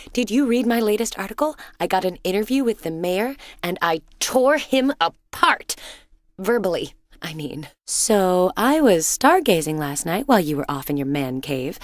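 An actress playing a loving, teasing suburban wife and mother in a video game, expressive and gently exasperated. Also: medium pitch, normal speed, dry recording, studio quality, close to an everyday voice. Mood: fond, chiding. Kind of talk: cartoonish game dialogue, affectionate chiding.

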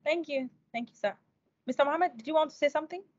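Fast-talking young woman interviewer. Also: high voice. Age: young adult. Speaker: host, interviewer. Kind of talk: conversational interviewing. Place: indoors, video call. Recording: dry, slightly dull video call.

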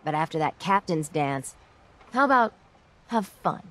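An actress playing a tough, wry shipwright in a video game, speaking in a terse, dry, halting way. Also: female voice, low voice, expressive, fairly bright tone. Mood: wry, confident. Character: tough, wry female shipwright in a harbor town. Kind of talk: video game character acting.

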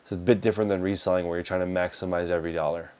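A man's voice: sits low, level tone, very fast, darkish tone. A man, answering viewer questions in a casual, practical way, recorded on a clip-on lapel mic in an indoor storage room. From United States. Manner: practical, conversational, gestures with his hands while talking. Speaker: reselling business host answering viewer questions. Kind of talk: casual livestream Q&A. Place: indoor storage room lined with shelves of boxes.

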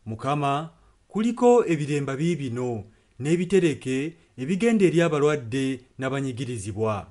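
A man's voice: medium pitch, big pitch swings, brisk, dark tone. A man interpreting a preacher's sermon and prayer phrase by phrase.

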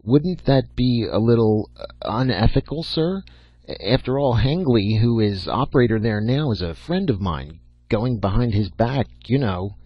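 A man's voice: on the low side, expressive, moderate pace, husky, no echo. A man voicing an earnest young student in an audiobook, deferential and careful but a little prickly. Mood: hesitant. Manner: hesitant, polite, careful. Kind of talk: audiobook character acting. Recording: dull lo-fi audio.

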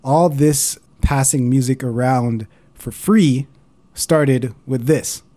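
A man's voice: mid-range voice, slow pace, dark tone. A man narrating a casual explainer as host, in an informal, conversational way. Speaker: host of a video series on analog recorders.

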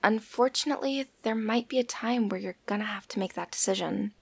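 A woman playing a snarky, level-headed vampire friend in an audio roleplay, with a raspy, creaky voice. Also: mid-range voice, a little fast, no room sound. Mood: level, serious.

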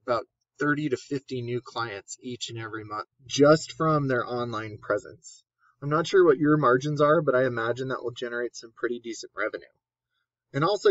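A man's voice: medium pitch, no echo, lo-fi sound. Casual man walking through a screen share. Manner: casual, many fillers and restarts. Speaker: SEO marketer.

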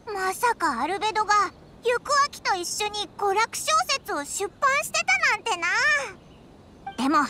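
A woman voicing a small, excitable childlike fairy companion in an anime game, with a squeaky voice and blunt boyish speech. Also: moderate pace, noisy background. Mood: puzzled, concerned.

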